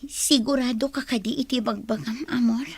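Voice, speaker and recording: A woman's voice: on the high side, fairly even intonation, moderate pace, slightly rough, dry recording. A woman with a Filipino accent playing a remorseful, struggling young daughter and mother in a radio drama. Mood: hushed, tender. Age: young adult. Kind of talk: Ilocano radio drama acting.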